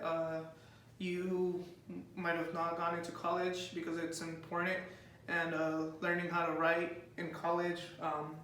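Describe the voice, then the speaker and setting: A young man whose first language is Spanish answers interview questions, speaking with hesitant pauses and many fillers. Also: on the high side, pushed and bright. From United States. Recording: echoey room, far from the mic, faint noise.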